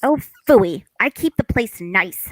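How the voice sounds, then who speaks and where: A woman's voice: medium pitch, animated, slow, dry recording, low-quality recording. A woman reading the part of a young housewife, patient and drily sarcastic, at a table read of a stage comedy. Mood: wry, put-upon. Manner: wry, long-suffering.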